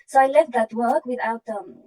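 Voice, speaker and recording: A woman from Iraq, earnestly sharing a personal testimony with halting restarts. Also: a little high, mellow, distant mic. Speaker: program participant sharing her story.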